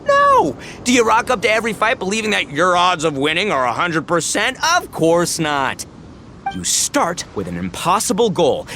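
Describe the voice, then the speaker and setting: A brash young male gang leader from an anime game, full of swagger and big-hearted bravado. His delivery is slangy and dramatic, leaping between highs and lows. A faint rumble sits behind the voice. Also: fairly bright tone, exaggerated voice.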